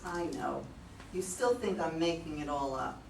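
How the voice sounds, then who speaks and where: A woman's voice: sits low, moderate pace, gravelly, a little mellow. A woman in a staged play reading as an anxious, talkative client, earnest in manner. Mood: grateful, worried. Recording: distant mics, echoey room, faint noise.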